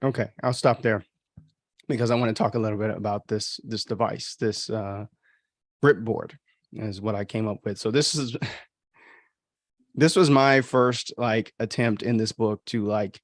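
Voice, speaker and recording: A man who is an author and host, giving informal, halting commentary on his own book as he thinks aloud. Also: on the low side, fairly even intonation, no echo, clean studio sound.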